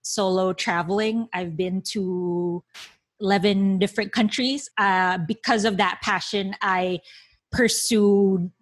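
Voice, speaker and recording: A woman in her thirties from the Philippines introduces herself on a casual podcast. She speaks slowly and easily, and her voice sits in a middle pitch. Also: steady tone. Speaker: podcast co-host.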